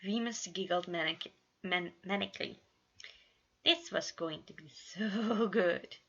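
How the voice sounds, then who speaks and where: A woman's voice: on the low side, slow, a bit bright, breathy edge. A woman reading fan fiction aloud as an amateur narrator, often tripping on words and correcting herself. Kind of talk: story reading with character voices. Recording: dull lo-fi home recording.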